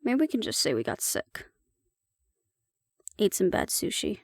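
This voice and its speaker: A woman's voice: mid-range voice, huge pitch swings, measured pace, dry recording. A woman acting in an audio roleplay as a sardonic, nervous young woman who mutters dry asides to her mate. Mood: nervous, wry.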